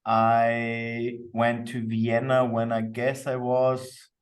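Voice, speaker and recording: A man's voice: medium pitch, completely flat delivery, extremely slow. Austrian man, easygoing, off the mic. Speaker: podcast guest, company co-founder. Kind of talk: reflective interview talk. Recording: distant microphone.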